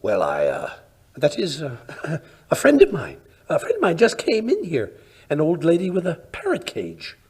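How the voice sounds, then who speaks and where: Bumbling gentleman sidekick played by a man, very swoopy, slightly high, blustering, radio play. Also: wildly swooping pitch, moderate pace, slightly rough, heavily acted. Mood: flustered. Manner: blustering, flustered, exclamatory. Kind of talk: old-time radio drama acting.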